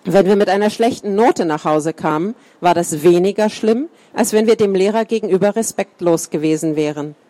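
A woman reads a first-person life account aloud at a calm, steady pace. Her voice sits at an ordinary middle pitch and sounds close and dry, with no room around it. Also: moderate pace, few pauses, no echo. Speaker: reader.

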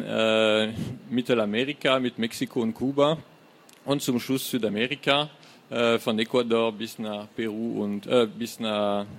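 French guy speaking german, gravelly, lots of uh and um, mid pitch. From France. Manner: hesitant, many filler sounds. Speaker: guest author. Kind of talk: live book reading talk. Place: fair hall stage.

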